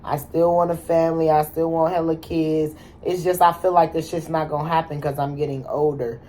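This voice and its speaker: A woman's voice: low voice, little pitch movement, a little fast, warm tone. A woman in her twenties talking casually and bluntly as the host, swearing freely and using slang. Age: 20s. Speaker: channel host. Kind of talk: casual chat.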